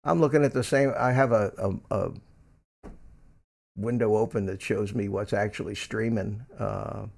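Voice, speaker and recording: Gravelly older man hosting a livestream. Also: lowish voice, fairly even intonation, normal speed, darkish tone. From Philadelphia, USA. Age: 70s. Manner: rambling, many ums and restarts; reads and answers viewer chat. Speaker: livestream host. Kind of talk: casual livestream chat.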